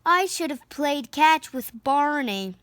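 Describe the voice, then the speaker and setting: A fussy middle-aged storybook neighbour man, voiced by a woman. He sounds fretful and emphatic, with a high, animated voice and measured pace. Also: no room sound, exaggerated voice. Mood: regretful.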